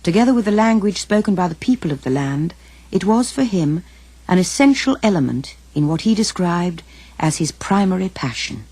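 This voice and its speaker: A woman's voice: fairly low pitch, expressive, moderate pace, a little mellow, breathy edge, no room sound. A woman reading scripted documentary narration and quoted passages as the film's narrator.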